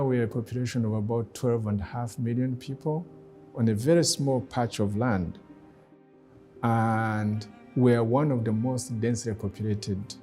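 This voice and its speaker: Rwandan guy, kinyarwanda native, soft dark tone, talks slow and thoughtful w pauses, faint music behind. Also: medium pitch. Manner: deliberate, measured, with pauses. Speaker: guest speaker, former ambassador. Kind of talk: reflective personal talk.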